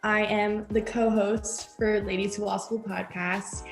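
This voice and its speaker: A woman's voice: mid-range voice, flat delivery, measured pace, reverberant, faint music behind, poor audio. A young woman who grew up in South America, a podcast co-host guest, chattily telling stories. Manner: storytelling, quotes her family, chatty. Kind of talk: casual interview chat.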